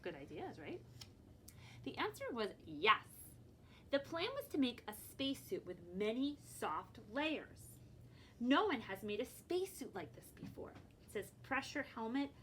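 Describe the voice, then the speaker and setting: A woman librarian reads a picture book aloud to children expressively, pausing to explain things and prompt her young listeners. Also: a little high, very animated, fairly bright tone, a little hiss or hum.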